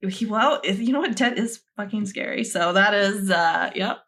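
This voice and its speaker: A woman co-hosting a podcast in casual banter, expressive, joking and candid about herself. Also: mid-range voice, moderate pace. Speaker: podcast co-host having her cards read.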